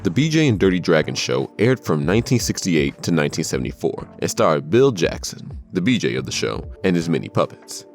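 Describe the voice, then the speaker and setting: A man's voice: sits low, very animated, fairly quick, hardly any pauses, faint music behind. A man reading scripted narration for a countdown video.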